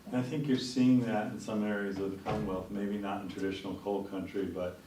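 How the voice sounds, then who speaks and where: A man hosting a policy chat, speaking in a measured way with frequent "uh" pauses. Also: fairly low pitch, level tone, mellow, far from the mic. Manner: measured, hesitant with "uh". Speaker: host. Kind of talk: policy discussion. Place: TV studio. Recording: roomy studio heard from off-mic, faint noise.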